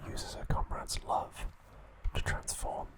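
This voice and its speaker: A man whispers softly and breathily, as an ASMR host does. His delivery is very slow and gentle, broken into short, soothing phrases meant for relaxation. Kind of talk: whispered ASMR trivia narration and sign-off.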